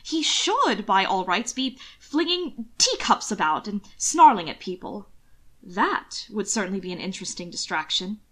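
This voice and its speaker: A woman reading a scripted story aloud as an expressive audiobook narrator. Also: mid-range voice, moderate pace. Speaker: audiobook narrator of fan fiction.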